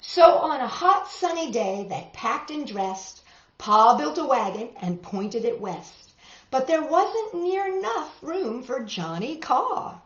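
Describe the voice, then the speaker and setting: A woman reading picture books aloud to children, expressive and exclaiming, lingering on words and adding chatty asides. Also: sits high, slow pace. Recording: dull, echoey room recording.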